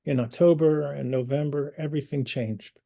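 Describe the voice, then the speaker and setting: A man hosting an online community meeting, speaking earnestly and personally, pausing with fillers and restarts. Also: a little high, steady tone, vocal fry, mellow. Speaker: community group host.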